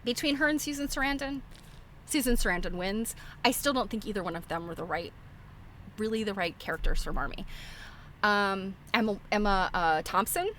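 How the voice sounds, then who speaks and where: Middle-aged woman, sharp bright tone, chatty livestream host, informal and opinionated, faint noise behind the voice. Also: mid-range voice, moderate pace. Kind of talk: casual rambling film commentary. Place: live internet stream.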